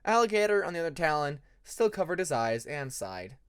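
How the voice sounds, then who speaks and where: A narrator reading fan fiction aloud in an expressive audiobook style. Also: mid-range voice, a little fast, smooth.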